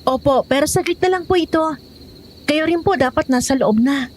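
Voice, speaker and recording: A woman's voice: high-pitched, moderate pace, a little mellow, a little hiss or hum, close to an everyday voice. A woman playing a polite, playful young expectant granddaughter in an audio horror drama. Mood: apologetic. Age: young adult.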